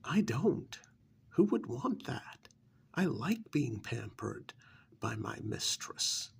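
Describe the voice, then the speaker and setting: A man playing a smug, pampered vampire's familiar recruiter in an ASMR role-play, cajoling and dismissive, with a gravelly voice that often drops to a breathy near-whisper. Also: on the low side, a little hiss or hum. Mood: defensive, persuasive. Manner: conversational, cajoling, with rhetorical questions and pauses.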